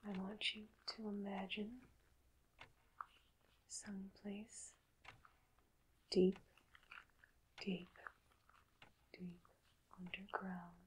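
Slow whispering ASMR woman. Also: mid-range voice, little pitch movement, slight background noise, rough recording. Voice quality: whispery, breathy. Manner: slow, soft, deliberate, hypnotic. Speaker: ASMR performer playing a doctor. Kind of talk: whispered ASMR medical roleplay.

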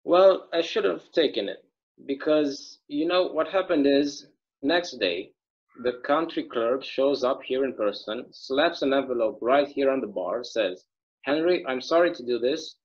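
A folksy, easygoing small-town bartender, read by a man over a video call. He rambles through stories in a middle-pitched voice. The sound is dull and lo-fi. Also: measured pace, slightly rough. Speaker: actor in a table read.

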